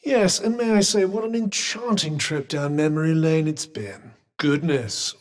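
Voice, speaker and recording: An actor playing a haughty, well-spoken talking magic cuff in a video game, with a British accent and dry, sarcastic, formal delivery. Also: male voice, fairly high pitch, unhurried, lightly acted. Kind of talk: scripted video game companion dialogue.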